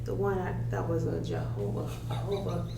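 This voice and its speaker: A woman's voice: fairly low pitch, sing-song, normal speed, vocal fry. A woman playing a sloppy, tipsy, flirty middle-aged mother at a table read, with a loose, folksy manner. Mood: tipsy, puzzled. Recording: echoey room with loud hum.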